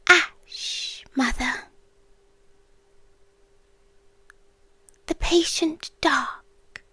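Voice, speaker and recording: A British woman voicing an ancient, tender and eerily calm world-ending being in an ASMR roleplay, in soft breathy speech that often drops to a whisper, close to the mic. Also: high voice, dry recording, full character voice. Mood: solemn, ominous. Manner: slow, deliberate, with long pauses. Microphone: close microphone, dry.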